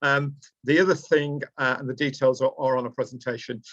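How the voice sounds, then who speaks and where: A man's voice: medium pitch, fairly even intonation, brisk, a bit bright, no room sound. Hesitant Lancashire man hosting a webinar. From Preston, Lancashire, England. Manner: hesitant, with frequent ums and uhs. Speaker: presenter of a training webinar. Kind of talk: live online training presentation. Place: indoors, small room. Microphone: webcam on a video call. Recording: dull lo-fi video call audio.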